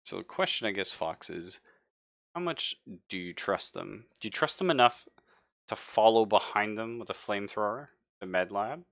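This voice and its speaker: Man, game master, deep voice, forward bright tone, dry close sound, casual explanatory narration. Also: expressive, moderate pace, vocal fry, dry recording. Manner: informal, explanatory. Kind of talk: improvised narration of a tabletop game.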